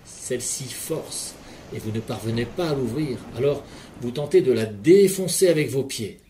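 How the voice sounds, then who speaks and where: A man leading a guided relaxation session in a calm, measured voice, speaking directly to the listener. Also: mid-range voice, a little hiss or hum, rough recording. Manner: calm, measured second-person guiding. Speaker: hypnotherapist guide. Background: soft background music.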